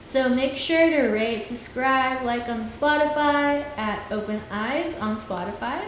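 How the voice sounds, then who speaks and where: A woman's voice: sits high, a little slow. A woman in her twenties hosting her own podcast, talking in an earnest, rambling way and often trailing off with "things like that.". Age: 20s. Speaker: podcast host. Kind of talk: casual solo podcast talk. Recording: very echoey room, faint hiss.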